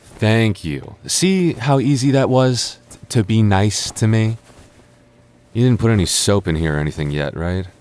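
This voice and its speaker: A man's voice: fairly low pitch, big pitch swings, a little slow, darkish tone. A man playing a defensive, teasing young childhood friend with a secret crush, stammering and bickering in an intimate boyfriend roleplay. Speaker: ASMR roleplay voice actor.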